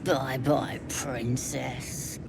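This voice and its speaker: Slow, creaky, gloating woman cult priestess. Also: extremely low pitch, little pitch movement, heavy background noise, heavily acted. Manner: theatrical, taunting, drawn-out delivery. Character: cruel, gloating female cult priestess, coldly menacing. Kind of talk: video game cutscene acting. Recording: loud music under the voice.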